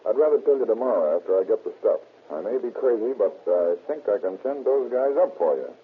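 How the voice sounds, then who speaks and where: An actor playing a confident, methodical police chemist in an old radio drama, precise and matter-of-fact. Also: male voice, lowish voice, very animated, brisk. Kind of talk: old-time radio acting. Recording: muffled, scratchy old radio recording.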